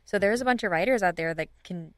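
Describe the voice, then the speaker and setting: A woman's voice: mid-range voice, fast, no echo, studio quality. A woman speaking as a guest in a casual, conversational podcast interview. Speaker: podcast guest, audio drama writers' room leader.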